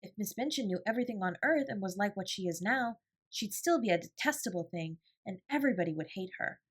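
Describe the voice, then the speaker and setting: A woman voicing a bright, earnest, imaginative schoolgirl in a storybook, with emphatic, old-fashioned phrasing. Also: medium pitch, expressive, quick pace, slightly rough. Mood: earnest, instructive.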